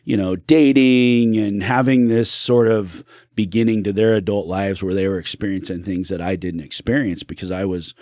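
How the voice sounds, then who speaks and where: A man's voice: fairly low pitch, fairly even intonation, normal speed, darkish tone, no echo, clean studio sound. A middle-aged man, a podcast guest, telling his life story in an earnest, reflective way. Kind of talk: candid personal storytelling.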